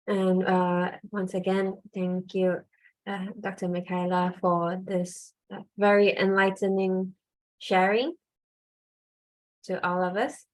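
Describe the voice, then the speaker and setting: A woman's voice: medium pitch, drawn-out words, long pauses, darkish tone, low-quality recording. A woman emcee moderating an online conference over a video call, polite and hesitant with many fillers.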